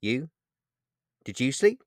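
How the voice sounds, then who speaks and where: A man's voice: medium pitch, very animated, slow pace, no room sound. A man plays a woman in love in a romance story. She stammers and doubles back on her words with open feeling. The recording is dry. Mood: playful. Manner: stammering, breaks off and repeats. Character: playful, apologetic woman in love, Olivia. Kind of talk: dramatised romance audiobook dialogue.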